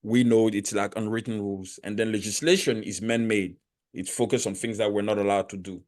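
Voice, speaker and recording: A man's voice: fairly low pitch, steady tone, brisk, darkish tone. A man who learned English as a second language, hosting a podcast interview and arguing his points earnestly, often restarting his sentences. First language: not English. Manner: earnest, argumentative, building points step by step; frequent restarts and repeated words. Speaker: podcast host. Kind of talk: casual interview chat.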